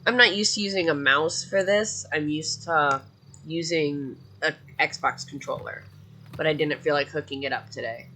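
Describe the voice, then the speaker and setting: A man's voice: on the high side, moderate pace, sharp tone, slight background noise. A young man with a congested voice giving casual, rambling commentary to viewers while playing a game. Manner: chatty and rambling, talking to viewers. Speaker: gameplay streamer. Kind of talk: casual let's-play commentary.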